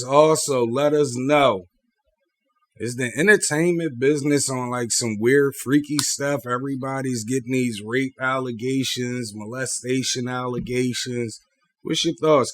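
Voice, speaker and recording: A man's voice: medium pitch, fairly even intonation, a little slow. A man hosting a casual panel podcast, putting questions to his co-hosts and listeners in slangy, conversational speech. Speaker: podcast host.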